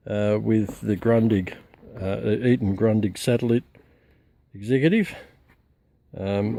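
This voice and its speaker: A man giving a halting, matter-of-fact, unscripted demonstration of gear as a hobbyist. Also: mid-range voice, creaky, darkish tone, no room sound. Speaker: radio hobbyist presenter.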